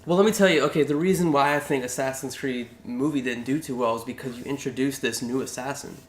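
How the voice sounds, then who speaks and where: A man's voice: medium pitch, fast, slight background noise. A man co-hosting a casual chat about video game movies, rambling with many restarts. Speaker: podcast co-host. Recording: echoey room.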